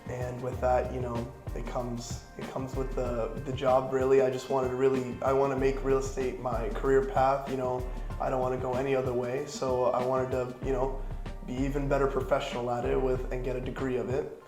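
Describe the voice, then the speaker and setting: A man's voice: medium pitch, a little fast, roomy sound, music in the background. A young man in his twenties from Florida, answering interview questions casually with many "you know"s. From Florida, United States. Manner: relaxed, rambling, lots of "you know".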